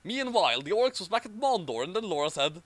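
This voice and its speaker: Lively, fairly high-voiced man reading aloud. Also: fast talker, no room sound. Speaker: reader and commentator. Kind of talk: amateur story reading with mocking commentary.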